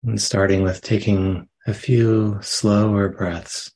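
Low, slow-speaking man guiding meditation. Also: little pitch movement. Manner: gentle, unhurried phrasing with long pauses. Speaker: meditation teacher. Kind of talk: guided meditation, slow soft instruction.

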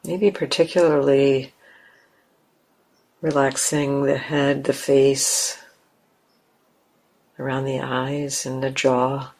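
A woman leading a guided meditation as a teacher, speaking gently in simple, unhurried phrases with long pauses. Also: very deep voice, little pitch movement, low-quality recording.

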